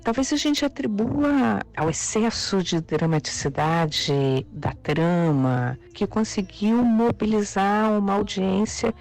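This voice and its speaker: An interviewed expert explaining in a thoughtful way, pausing often with fillers. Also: mid-range voice, a little mellow.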